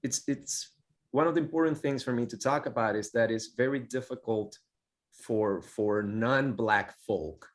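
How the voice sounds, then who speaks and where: A middle-aged man, sharing personal testimony on a panel in a halting, reflective way. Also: lowish voice. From Puerto Rico. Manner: halting, reflective, repeats words.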